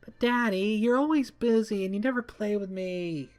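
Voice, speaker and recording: A man's voice: high-pitched, moderate pace, darkish tone, soft background music, pitched above the performer's own voice, lightly acted. A man voicing a playful six-year-old girl who pleads and exclaims eagerly in a story. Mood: pleading.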